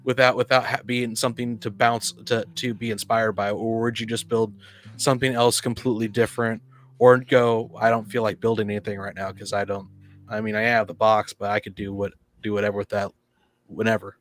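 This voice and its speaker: A man co-hosting a casual discussion of tabletop games, acting out imagined quotes in an animated way. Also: fairly low pitch, brisk. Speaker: podcast co-host and game master.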